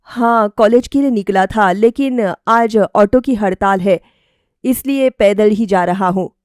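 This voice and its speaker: A woman voicing an earnest, dutiful young man in a cartoon story, speaking in a measured, sincere, slightly hesitant way. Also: mid-range voice, no room sound, clean studio sound, lightly acted. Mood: helpful.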